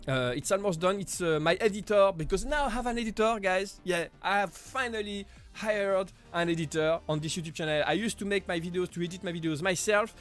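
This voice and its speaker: Male streamer, higher register, chatty and halting, a bit under the weather. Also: a bit bright, faint music behind. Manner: chatty, halting, with frequent small laughs and "you know". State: voice deepened while getting over an illness. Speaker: live stream host answering viewer questions. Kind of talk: casual live Q&A chat.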